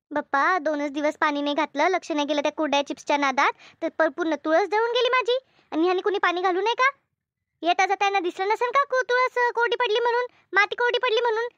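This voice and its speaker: A woman's voice: very high-pitched, a bit bright, no room sound, lo-fi sound. A woman voicing an exasperated mother in an amateur cartoon dub, nagging with question after question in rural Maharashtrian Marathi. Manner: nagging, full of rhetorical questions. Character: exasperated village mother scolding her household.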